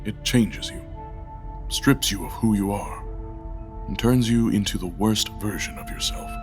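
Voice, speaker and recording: A man plays a solemn, gentle guide in an audio drama. His lowish voice is grave and unhurried, with music clearly playing behind it. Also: lightly acted. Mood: solemn, probing. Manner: measured, grave. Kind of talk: full cast audio drama acting.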